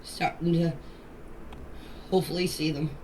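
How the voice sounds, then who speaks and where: A woman's voice: sits low, fairly even intonation, moderate pace. Older woman, distant noisy voice message. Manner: pleading, insistent, plain-spoken. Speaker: grandmother answering questions in a group voice chat. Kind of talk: emotional personal statement in voice messages.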